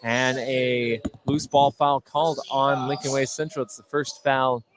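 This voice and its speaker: A man's voice: medium pitch, level tone, unhurried, crowd noise. A man doing live play-by-play commentary of a basketball game for a radio broadcast. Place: at courtside in an indoor gymnasium. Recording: live game broadcast over loud gym noise.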